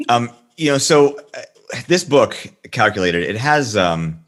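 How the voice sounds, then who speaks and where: A man hosting a casual interview, asking halting questions that repeat words. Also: medium pitch, lively intonation, a bit bright. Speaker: host.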